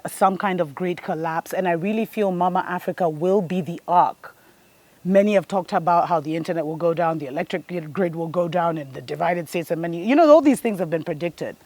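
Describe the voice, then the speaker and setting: A woman's voice: lowish voice, a little mellow, dry recording. A woman, hosting a spiritual show and giving an earnest, preachy monologue that speaks to her listeners as family. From Kenya.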